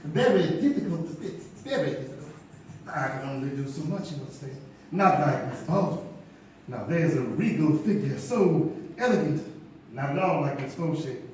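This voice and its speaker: An actor plays a catty, affected male costume designer in a period stage play. His voice is very high and swooping, full of fussy disdain, and sounds distant in an echoey hall. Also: expressive, moderate pace, darkish tone, slight background noise, natural voice. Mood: fussy, disdainful. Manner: fussy, repeats phrases for emphasis, affected. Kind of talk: theatrical stage acting of a period mystery. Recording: echoey live theatre recording from afar.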